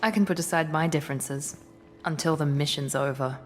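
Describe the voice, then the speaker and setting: A woman's voice: deep voice, fairly quick, soft background music, lightly acted. A woman voicing a cool, haughty officer in a video game, controlled and condescending.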